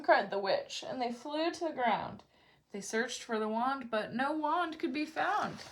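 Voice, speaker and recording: A woman's voice: a little high, fairly bright tone. A woman storyteller reading a children's picture book aloud expressively.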